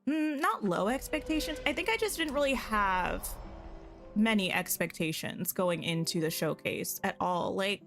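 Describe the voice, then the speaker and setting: A woman's voice: mid-range voice, lively intonation, measured pace, a bit bright, soft background music. Chatty woman streamer, slightly scratchy voice. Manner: chatty, greets and answers viewers, thinks aloud. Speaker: game streamer. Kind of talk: casual live-stream chat while playing.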